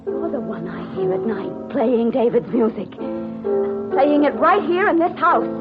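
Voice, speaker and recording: A woman's voice: high voice, sing-song, unhurried. An actress playing a frightened, distrustful and defiant woman in a melodramatic old-time radio thriller. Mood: desperate, defiant. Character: frightened, distrustful woman hunted by unseen killers. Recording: muffled vintage radio drama recording with music.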